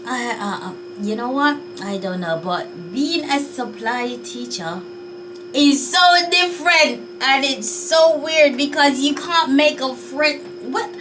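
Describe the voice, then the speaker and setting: A woman's voice: high-pitched, slow pace, recorded from a distance, music in the background, rough recording. A Jamaican woman vlogging in a chatty, unsure way, sighing as she thinks things over. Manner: chatty, musing and unsure, with sighs. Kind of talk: casual talk to camera.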